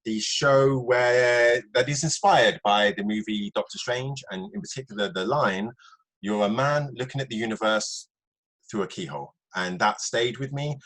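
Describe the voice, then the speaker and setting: A man hosting a podcast, chatting casually as he interviews a guest, with a husky voice. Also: lowish voice, a bit bright, poor audio.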